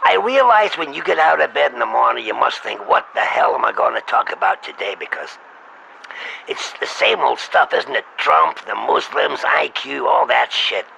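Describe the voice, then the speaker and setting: Gravelly guy, sharp edgy tone, pushy agent type, wheedling and crude, slight hiss. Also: mid-range voice, very animated, moderate pace, rough recording. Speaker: prank caller posing as an agent. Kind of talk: comic scripted phone pitch.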